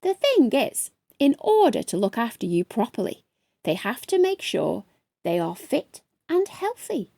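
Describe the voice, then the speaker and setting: A woman voicing a kindly, reassuring father in a children's storybook, explaining things gently and patiently. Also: fairly high pitch, wildly swooping pitch, unhurried, creaky, dry recording, exaggerated voice.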